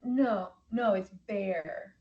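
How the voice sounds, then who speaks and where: A woman's voice: medium pitch, drawn-out words, roomy sound, recorded from a distance, lo-fi sound, a loud crowd. A woman teaching pronunciation, patiently modeling single words for a student to repeat. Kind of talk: slow classroom drilling.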